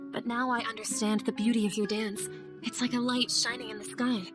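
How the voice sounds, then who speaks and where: An actress playing an earnest, idealistic young scholar in a video game cutscene, speaking gently and articulately. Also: female voice, mid-range voice, lightly acted. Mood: apologetic, sincere. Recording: music under the voice.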